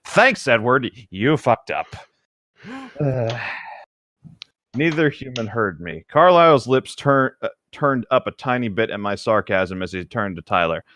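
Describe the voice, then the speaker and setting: A man co-hosting a comedic read-along, switching between reading aloud and rambling deadpan riffs. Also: mid-range voice, unhurried, no room sound. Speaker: podcast co-host reading a novel aloud with commentary.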